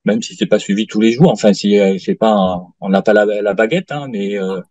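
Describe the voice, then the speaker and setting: A man in his late fifties giving a halting testimonial in an interview, full of fillers and restarts. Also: on the low side, darkish tone, dry recording. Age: 50s. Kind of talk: hesitant interview answers. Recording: dull lo-fi dry sound.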